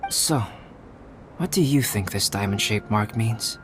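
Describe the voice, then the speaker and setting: Game role: gentle, scholarly young alchemist, soft-spoken, measured explanations, clear music bed behind. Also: male voice, fairly low pitch, expressive. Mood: explaining. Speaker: game character. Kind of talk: video game voice acting.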